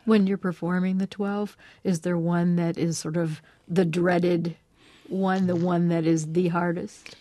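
A woman interviewing a pianist, asking curious, measured questions. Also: on the low side, level tone, dark tone, no room sound. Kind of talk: radio interview.

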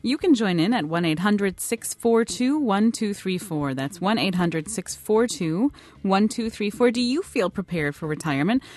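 A woman hosting a radio call-in show, brisk and friendly, clearly paced. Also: medium pitch, lively intonation, a little mellow. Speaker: radio talk show host.

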